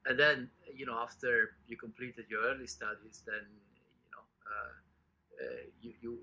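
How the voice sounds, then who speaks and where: A man's voice: medium pitch, steady tone, moderate pace, sharp tone, slightly rough, rough recording. A man hosting a podcast, asking long, meandering questions full of repeats and "you know". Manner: rambling questions, repeated words. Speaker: podcast host. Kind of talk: interview questions.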